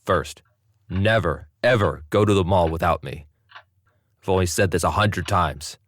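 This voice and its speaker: A man playing a deep-voiced controlling husband. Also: a little slow, a bit bright. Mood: insistent. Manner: insistent, lecturing. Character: possessive, controlling husband in his prime.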